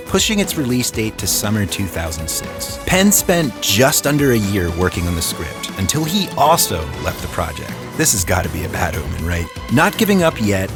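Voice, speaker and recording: A man narrating a scripted video essay as host, with dry, sarcastic asides. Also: on the low side. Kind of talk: scripted narration, film and game history. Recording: voice-over with music underneath. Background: background music.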